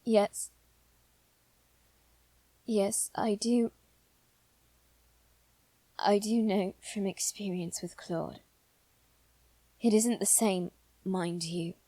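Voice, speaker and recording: A woman voicing an anxious aristocratic boy in a scripted audio roleplay, speaking formally and haltingly with dramatic pauses. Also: mid-range voice, dark tone, no room sound. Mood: reluctant, confessing. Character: anxious aristocratic boy lord bound to demons. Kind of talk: scripted ASMR roleplay addressed to the listener.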